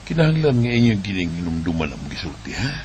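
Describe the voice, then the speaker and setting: A man's voice: sits low, big pitch swings, fast talker, a little mellow, a little hiss or hum. A man acting a tired, hardworking parent giving advice to the children, in a homemade radio drama. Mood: advising.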